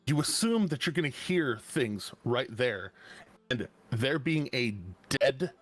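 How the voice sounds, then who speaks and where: A man narrating as game master, describing scenes slowly with frequent pauses and restarts. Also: medium pitch, animated, fairly bright tone, no room sound. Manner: slow, halting, many restarts and fillers.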